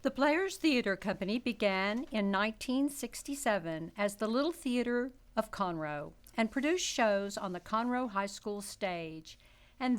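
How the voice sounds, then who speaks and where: Female announcer for scripted promo spots, middle-register voice. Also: mid-range voice.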